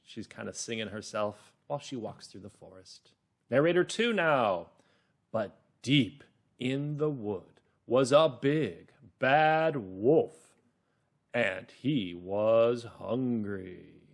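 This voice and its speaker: Man, children's teacher, story narration, mid-pitched, lively intonation, encouraging, explanatory, drawn-out emphasis. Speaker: teacher and host.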